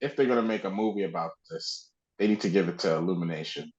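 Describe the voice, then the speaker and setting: Lowish-voiced man, slightly dull recording. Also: fast, darkish tone, roomy sound. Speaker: podcast co-host. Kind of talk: casual podcast chat about pop culture.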